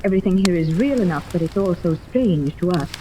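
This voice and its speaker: A woman's voice: lowish voice, animated, a little fast, natural voice. An actress in a 1930s radio serial playing an anxious, genteel mother, polite and earnest in manner. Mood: polite, uneasy. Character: anxious, genteel wealthy mother seeking her lost daughter. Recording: distant, faintly noisy old radio recording.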